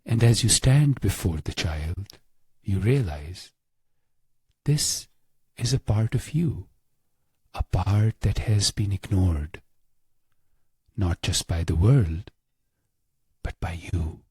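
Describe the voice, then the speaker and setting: A man narrating a guided meditation in a hushed, measured way with long pauses. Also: low-pitched, lively intonation, breathy edge, dry recording.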